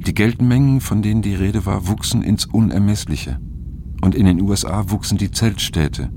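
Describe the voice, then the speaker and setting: An actor playing a brooding, disillusioned narrator in a radio drama, speaking reflectively and somberly with a gravelly voice. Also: male voice, lowish voice, normal speed, noisy background, close to an everyday voice. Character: brooding, disillusioned male narrator recalling troubling times. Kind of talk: scripted first-person radio drama narration.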